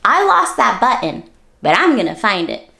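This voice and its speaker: A woman's voice: mid-range voice, very animated, moderate pace, creaky, pushed and bright, exaggerated voice. A woman voicing a small, earnest male toy bear talking to himself in a storybook. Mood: wistful, determined.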